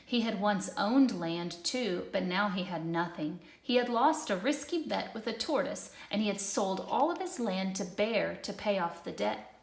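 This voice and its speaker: A woman narrating a children's storybook in an expressive read-aloud style. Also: medium pitch, normal speed, gravelly. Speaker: storybook reader. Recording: echoey room.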